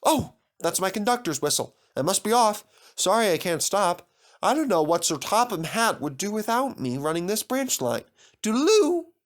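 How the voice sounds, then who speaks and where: A cheery little tank engine, voiced by a man chatters in a raised, lively voice. He is friendly, brisk and a touch self-important, in children's storybook style. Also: high voice, pitched above the performer's own voice. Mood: cheery, hurried.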